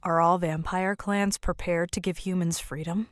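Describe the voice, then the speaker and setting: A woman's voice: fairly low pitch, steady tone, moderate pace, no echo, studio quality, natural voice. A woman playing a guarded, principled human negotiator in an audio drama, candid and matter-of-fact.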